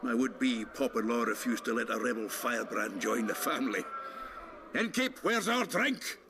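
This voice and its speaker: A man's voice: mid-range voice, expressive, moderate pace, background noise, close to an everyday voice. A man playing a brash, bawdy dwarf, a colourful and boisterous storyteller in a fantasy game. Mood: indignant, bawdy. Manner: colourful, swearing, hearty storyteller. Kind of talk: dramatic game dialogue.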